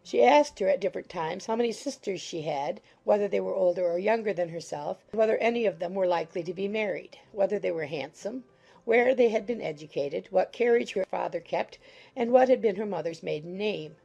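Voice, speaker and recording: A woman's voice: mid-range voice, fairly quick, gravelly, mellow, lo-fi sound. A woman reading scripted literary narration for a dramatized audiobook.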